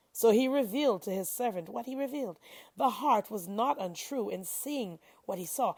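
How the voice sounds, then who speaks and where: Woman reading scripture out loud, raspy w some fry, expressive n measured, dry sound. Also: mid-range voice, dry recording. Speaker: reader of scripture.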